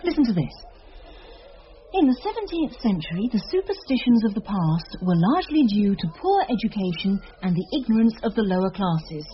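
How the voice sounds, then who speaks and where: An actress playing a wry, worldly British schoolteacher in a radio drama, talking in a dry, teasing, gossipy way. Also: female voice, medium pitch, very animated, brisk, lightly acted. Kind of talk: radio drama acting, conversational. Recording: slightly dull radio recording, background noise.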